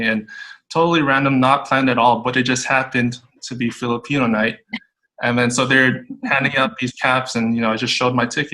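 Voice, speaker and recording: Bright-voiced man with a flat delivery. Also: mid-range voice, rough recording. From United States. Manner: friendly, relaxed, with fillers and restarts. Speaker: school tech support guest. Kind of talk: casual interview answers.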